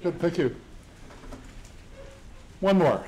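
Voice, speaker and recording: A man moderating a panel discussion, talking haltingly and leaning on fillers as he tells an anecdote. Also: fairly high pitch, lively intonation, darkish tone, slight background noise, poor audio. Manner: halting, full of "sort of" fillers. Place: campus event hall.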